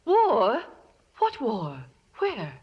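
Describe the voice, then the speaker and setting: Prim missionary spinster, high expressive voice. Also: female voice, very slow, slightly rough, lo-fi sound, exaggerated voice. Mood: alarmed. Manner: proper, genteel, earnest. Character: prim, straight-laced, devout missionary spinster. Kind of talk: 1950s radio drama acting. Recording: reverberant vintage radio stage recording.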